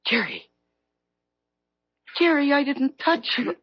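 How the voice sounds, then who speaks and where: An actress playing a guilt-ridden, defensive middle-aged woman in a 1970s radio drama, husky and halting as she pleads. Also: sits high, sing-song, no echo, heavily acted. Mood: panicked, pleading. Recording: dull vintage radio broadcast, close and dry.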